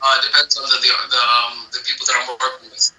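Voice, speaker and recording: A man from the United States whose first language was Arabic, a singer guesting on a casual interview podcast, speaking haltingly with many restarts. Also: mid-range voice, level tone, sharp tone, rough recording.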